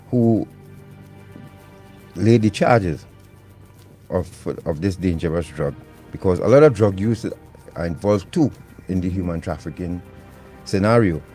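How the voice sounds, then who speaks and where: A man's voice: on the low side, dark tone. A man hosting a live radio talk show, chatty and prone to repeating words. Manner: conversational, repeats words while searching.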